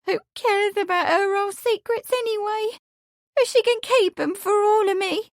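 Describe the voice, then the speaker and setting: A woman's voice: very high voice, fairly even intonation, slow, no echo, clean studio sound, voice pushed up. A woman voicing a young housemaid in an audiobook, tearful, scheming and lovestruck, with a tremulous, pleading manner. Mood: hurt, indignant.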